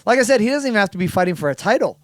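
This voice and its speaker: A man's voice: sits high, very animated, racing, a bit bright, no echo, studio quality. A man co-hosting a casual podcast chat, talking with emphatic, profane enthusiasm.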